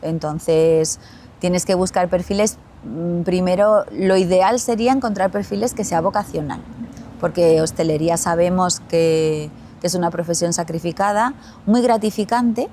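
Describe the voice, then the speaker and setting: A woman with an Andalusian Spanish accent, a company chief answering interview questions in a measured way, pausing and repeating as she thinks. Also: fairly low pitch, warm tone, a little hiss or hum. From Spain. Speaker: glamping company CEO, guest.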